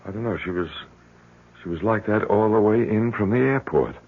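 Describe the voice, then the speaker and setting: A man's voice: on the low side, a little fast, natural voice. An actor playing a troubled, protective middle-aged husband and father in an old radio drama, speaking hesitantly with stammers. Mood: uneasy. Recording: dull, noisy old radio recording.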